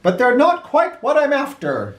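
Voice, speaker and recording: A man's voice: very high voice, huge pitch swings, moderate pace, voice pushed up, full character voice. A man voicing a big, jolly, food-obsessed president in a children's storybook, with dramatic delivery. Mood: delighted, determined. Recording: roomy echo.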